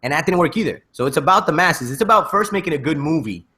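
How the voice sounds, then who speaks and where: A man's voice: a little high, animated, quick pace, pushed and bright. A man hosting a casual panel chat, handing questions around and chipping in with his own takes. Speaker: host steering a panel discussion.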